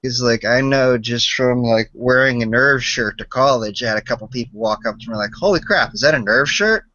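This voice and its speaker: A man's voice: mid-range voice, fairly quick, sharp tone. A young American man chatting on a podcast, hedging his points with lots of "like". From United States. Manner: hedging, full of "like". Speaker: podcast co-host. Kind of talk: casual chat. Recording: dry, dull lo-fi podcast audio.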